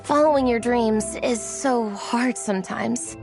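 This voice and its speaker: Earnest high-voiced young artist. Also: female voice, unhurried, vocal fry, music in the background. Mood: wistful, doubtful. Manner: sincere, reflective. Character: earnest, self-doubting young woman artist. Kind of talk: video game voice acting.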